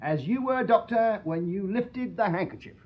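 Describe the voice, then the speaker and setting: Victorian detective sergeant for radio drama: English, fairly high voice, shrewd, deferential, deliberate. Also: male voice, lively intonation, muffled recording. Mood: pressing, accusing. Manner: methodical, deliberate, formally polite. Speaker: actor in a radio play. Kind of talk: British radio drama acting.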